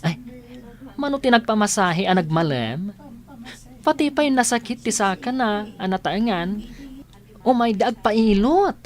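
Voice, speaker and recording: Woman as nosy village woman, Ilocano accent, very animated melody, slow drawn-out exclamations, excitable, comedy radio drama. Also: medium pitch, natural voice. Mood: amazed, curious.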